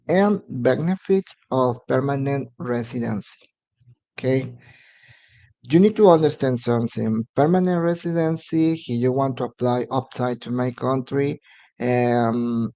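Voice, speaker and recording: A man from Mexico, a native Spanish speaker with a Mexican accent, explaining immigration steps on a live stream in halting English full of fillers. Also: medium pitch, a little mellow. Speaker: immigration advisor.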